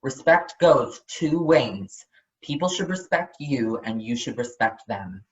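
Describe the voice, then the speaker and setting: A performer reading books aloud in a deliberate, explanatory storytelling manner. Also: mid-range voice, lively intonation, dull audio.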